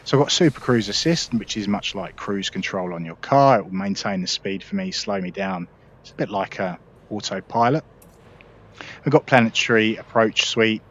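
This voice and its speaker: A man hosting a casual gameplay tutorial, relaxed and explanatory, talking viewers through each step. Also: lowish voice, normal speed, muffled recording. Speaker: gaming video host.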